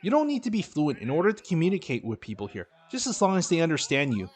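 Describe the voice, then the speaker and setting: A man giving scripted voice-over narration for his vlog, in a steady, instructive, advice-giving manner. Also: on the high side, quick pace, slightly rough. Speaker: dating and language vlogger.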